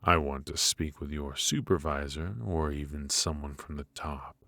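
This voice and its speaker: A man playing a smug, menacing mafia boss in an ASMR roleplay, courteous but ruthless, smooth-talking and teasing, with deliberate drawn-out pauses. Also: rumbling low, steady tone, a bit bright, dry recording, clean studio sound. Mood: coolly threatening.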